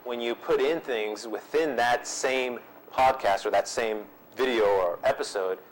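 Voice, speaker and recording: A man's voice: medium pitch, measured pace, slight background noise, poor audio. A man presenting a workshop and answering audience questions in an easygoing way, repeating himself with frequent ums. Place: seminar room.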